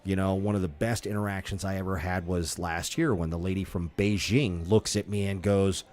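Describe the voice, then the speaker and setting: A man with a very low, deep voice talks casually on a podcast, stumbling through restarts and fillers. Taiwanese was his first language. Faint music plays behind him. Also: fairly even intonation, darkish tone. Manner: halting, many restarts and fillers. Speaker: podcast co-host and festival performer.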